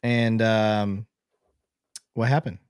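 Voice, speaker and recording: A middle-aged man hosting a podcast, curious and quick to ask questions and laugh. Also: fairly low pitch, little pitch movement, a little mellow, smooth. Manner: curious, asks lots of questions, laughs easily. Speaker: podcast host. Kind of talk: casual chat.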